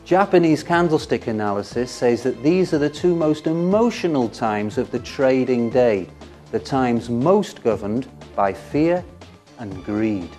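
A man's voice: medium pitch, animated, measured pace, warm tone. A man presents scripted educational narration, rhetorical in style and leaning hard on key words. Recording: music under the voice.

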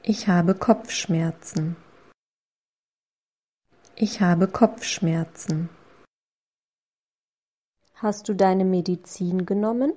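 A woman reading short phrases slowly and clearly, saying each one twice for learners. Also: lowish voice, warm tone. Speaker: language lesson voice. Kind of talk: slow repeated phrase reading.

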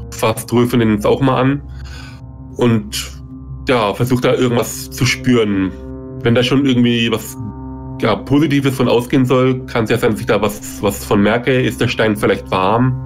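A man with a medium-pitched voice plays a tabletop game, chatting casually and describing what his character does. Faint music runs underneath. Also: fairly even intonation, normal speed.

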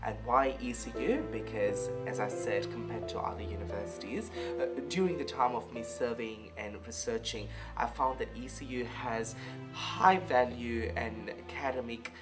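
A young man in his twenties from Malaysia with a Malaysian accent, speaking haltingly in a documentary interview, often searching for words. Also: medium pitch. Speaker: student interviewee. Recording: music bed under voice. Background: music.

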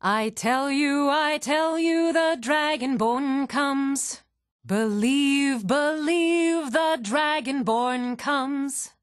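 High-voiced singing fantasy bard. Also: female voice, drawn-out words, no echo, studio quality. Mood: solemn, singing. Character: female tavern bard singing heroic fantasy ballads. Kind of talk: sung ballad in a video game. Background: plucked string instrument music.

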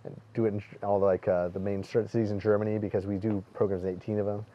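A middle-aged male host talks quickly in a lowish voice, eagerly trading stories and questions. The recording is somewhat dull and lo-fi, made outdoors on a garden terrace. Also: level tone. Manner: talkative, tells anecdotes, enthusiastic. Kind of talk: casual interview chat.

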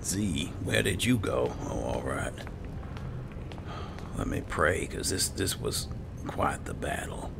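Man, game roleplay commentary, heavy gravelly fry, breathy murmur, musing, noticeable noise and ambience behind. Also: a bass voice, animated, slow, pauses often. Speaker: gaming roleplay host.

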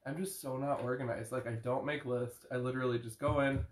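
A man's voice: medium pitch, fairly even intonation, fairly quick. A man in his thirties co-hosting a comic book show, talking casually and upbeat from a living room couch on a camera mic. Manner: upbeat, hyped show opener. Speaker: podcast co-host. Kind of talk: casual chat. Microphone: far camera. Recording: roomy living-room echo.

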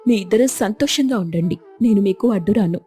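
A woman voicing a wronged, self-sacrificing wife and elder sister in an animated moral story, meek and pleading. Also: mid-range voice, fairly quick, soft background music, lightly acted. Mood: hurt, resigned.

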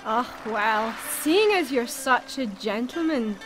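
Coy, high-pitched streetwalker. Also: female voice, animated, takes it slowly, over music, poor audio. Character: coy, feisty young streetwalker. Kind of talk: full-cast audio drama acting.

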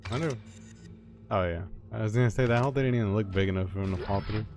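Lowish-voiced man playing and chatting. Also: quick pace. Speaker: player in a let's-play. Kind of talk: casual chat while gaming. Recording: game music under the voices. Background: video game music and rumble.

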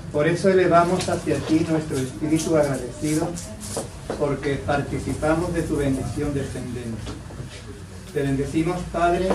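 An older man with an Andalusian Spanish accent, a priest reading a blessing prayer in a steady, solemn way in a small crowded shop. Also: on the high side. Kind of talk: blessing prayer read aloud. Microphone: far camera. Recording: echoey shop from far off, noisy.

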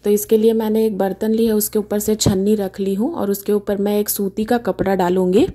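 Woman walking you thru a recipe in her kitchen, homely chatty way, soft mellow tone. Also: mid-range voice, little pitch movement, fairly quick. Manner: chatty, homely step-by-step explaining. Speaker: cooking channel host. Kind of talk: home cooking demonstration. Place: home kitchen.

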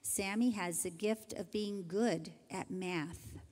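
A woman's voice: mid-range voice, fairly even intonation, a little hiss or hum. Older woman teacher in an echoing church. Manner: gentle, measured, reading from notes. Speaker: kindergarten teacher. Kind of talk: reading graduation introductions. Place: large church sanctuary. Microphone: handheld microphone. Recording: hall reverb from a church PA.

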